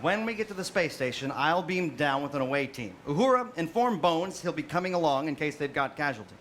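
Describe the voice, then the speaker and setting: Guy as space admiral giving orders, high voice, earnest and theatrical, noisy live recording. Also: expressive, brisk, fairly bright tone. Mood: commanding. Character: earnest, commanding male starship admiral. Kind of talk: theatrical parody stage acting. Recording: live stage recording with clear noise.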